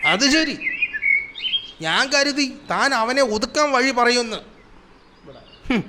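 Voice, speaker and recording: A man voicing a cunning, grumbling trickster animal in a cartoon comedy, animated and full of schemes. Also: extremely high pitch, slow, background noise. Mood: scheming, smug. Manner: animated, exasperated, explains schemes with sound words. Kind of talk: Malayalam children's cartoon comedy acting.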